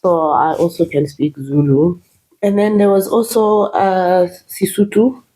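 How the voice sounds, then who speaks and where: A woman from Zimbabwe, Shona her first language, talks as an interview guest. Her voice sits low and sounds mellow and soft. She talks hesitantly, with fillers and restarts. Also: rough recording.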